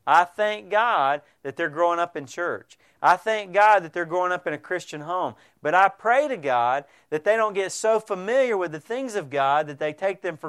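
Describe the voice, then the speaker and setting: A man's voice: on the high side, a bit bright, clear, resonant tone. A male pastor preaching a sermon, earnest and direct, addressing the congregation with frequent rhetorical questions.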